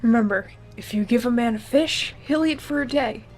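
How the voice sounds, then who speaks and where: High, playful woman dubbing a comic. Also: normal speed, a little mellow, faint music behind. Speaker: fan dubber voicing a webcomic. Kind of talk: comedic comic dub, quick skits.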